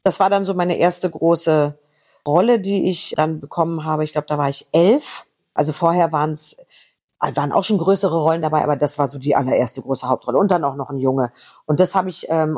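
Chatty husky woman with Berlin traces. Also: low-pitched, warm tone. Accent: slight Berlin. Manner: chatty, fast, rambling anecdotes. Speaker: voice actress guest. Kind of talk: casual interview chat.

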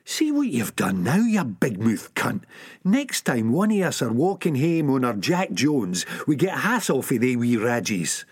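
A man's voice: mid-range voice, huge pitch swings, measured pace, husky, dry recording, studio quality, exaggerated voice. A man with a Scottish accent voicing a frantic, desperate young male junkie who snarls and swears nonstop. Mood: scornful. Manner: snarling, cursing constantly.

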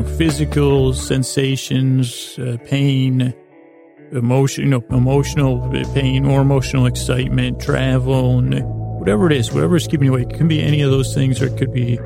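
Gravelly man rambling on a sleep podcast. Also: mid-range voice. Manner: meandering, halting, trailing off and doubling back. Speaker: podcast host.

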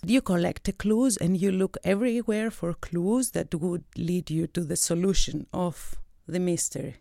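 A woman's voice: lowish voice, vocal fry, warm tone, no room sound. A woman from Greece in her thirties, a research associate and PhD candidate, giving thoughtful interview answers in a studio and searching for her words now and then. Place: recording studio.

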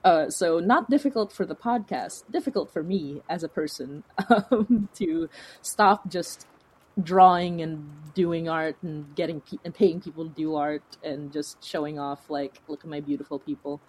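A woman with a distinctly low-pitched voice speaks as a guest in a relaxed interview. She is chatty and informal, working out her thoughts aloud as she talks. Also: normal speed, husky, rough recording. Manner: chatty, informal, laughs while talking, thinks aloud. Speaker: interview guest, fiction podcast creator. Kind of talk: casual conversational interview.

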